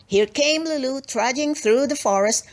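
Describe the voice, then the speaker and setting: A woman's voice: high-pitched, moderate pace. A woman narrating a children's storybook expressively, with dramatic emphasis and playful asides. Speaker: storybook reader.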